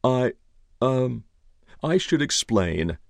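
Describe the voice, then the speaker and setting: A man voicing a flustered, embarrassed gentleman who babbles hesitantly in an audiobook. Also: mid-range voice, sing-song, unhurried, dry recording, clean studio sound, voice pushed up. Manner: stammering, hesitant, over-explaining. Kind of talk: audiobook character voice.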